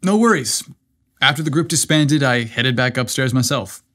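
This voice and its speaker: A man's voice: medium pitch, lively intonation, moderate pace, a bit bright. A man voicing a loyal, earnest young best friend with a casual manner in a narrated story. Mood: easygoing.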